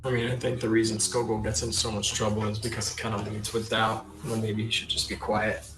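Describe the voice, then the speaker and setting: A man's voice: lowish voice, completely flat delivery, brisk. A man playing a tabletop game, talking casually as he reflects on his character. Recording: roomy echo, faint music.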